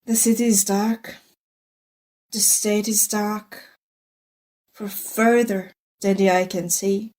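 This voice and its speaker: An actress playing a bored, crude, lecherous lone broadcaster in an audio drama monologue, sardonic and theatrical. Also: female voice, mid-range voice, fairly even intonation, takes it slowly, long pauses, a little mellow, natural voice. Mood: sardonic, lewd.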